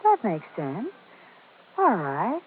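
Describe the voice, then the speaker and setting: A coy, lilting radio widow. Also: female voice, medium pitch, huge pitch swings, slow pace. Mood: relenting. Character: alluring, coy young widow, guarded but lonely. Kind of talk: 1940s radio drama acting. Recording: tinny, hissy old radio recording.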